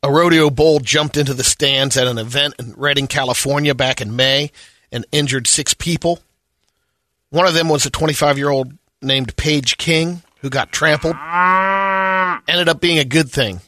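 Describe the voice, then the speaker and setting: A man co-hosting a radio show, reading news items in a steady, matter-of-fact way. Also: medium pitch, normal speed, no room sound, studio quality.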